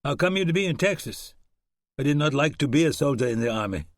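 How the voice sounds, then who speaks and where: An earnest young German ex-soldier talks in halting, back-to-front English, as a male narrator puts him on. The voice sits a few steps above the narrator's own and comes across dry and close, with no room around it. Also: high voice, fairly bright tone, no echo, voice pushed up. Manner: German accent, broken word order.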